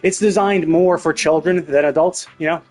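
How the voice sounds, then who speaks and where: Higher-pitched husky man ranting casually about games. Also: steady tone, normal speed, a little mellow, soft background music. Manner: opinionated, emphatic, profane. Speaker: game streamer. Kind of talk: casual gaming commentary rant.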